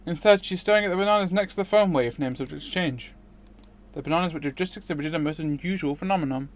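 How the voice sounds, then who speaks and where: A man's voice: a little high, fast. A man streaming a visual novel, reading its narration aloud in a dramatic style.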